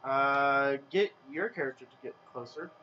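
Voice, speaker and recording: A man's voice: medium pitch, normal speed, bright, forceful tone, slight background noise. A young man in casual gaming banter, stammering a bit as he gives bossy advice to a friend playing. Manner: stammering, bossy backseat coaching. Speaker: co-player giving advice. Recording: dull lo-fi mic.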